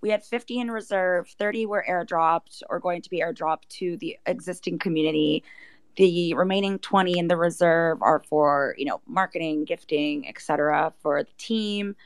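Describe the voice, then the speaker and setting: A woman with a slightly low voice talks as an interview guest, laying things out casually. Her speech is conversational and dotted with "like" and "you know". The sound is dry, with little room around it. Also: normal speed, clear, resonant tone, dry recording. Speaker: interview guest presenting an NFT project. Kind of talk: casual explanatory talk.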